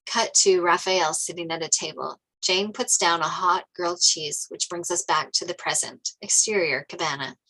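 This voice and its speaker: Bright, lower-pitched woman reading stage directions. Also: fairly even intonation, normal speed, few pauses, poor audio. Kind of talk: scripted narration of screenplay directions.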